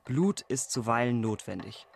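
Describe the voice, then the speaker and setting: An actor playing an earnest, idealistic older brother, speaking solemnly and in measured phrases in a radio play. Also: male voice, mid-range voice, animated, gravelly, a little hiss or hum. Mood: solemn conviction. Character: earnest idealistic older brother, young village resistance man.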